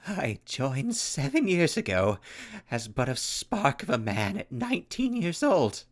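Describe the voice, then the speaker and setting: A man's voice: medium pitch, wildly swooping pitch, unhurried. A man playing a volatile pyromaniac clown soldier in an audio drama, with grandiose, florid speech and swooping outbursts. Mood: wistful.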